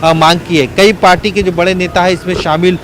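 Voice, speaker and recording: A man giving an unscripted live news report in a slightly high voice. His delivery is halting and full of filled pauses. Faint urban traffic noise sits behind him outdoors. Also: steady tone.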